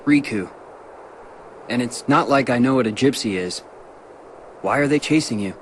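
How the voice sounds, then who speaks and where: An actor playing a guarded but earnest young male game hero, calm, sincere and gently encouraging. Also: mid-range voice, normal speed, a little hiss or hum, close to an everyday voice. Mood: cool, curious. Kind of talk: video game cutscene dialogue. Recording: game audio with loud music behind.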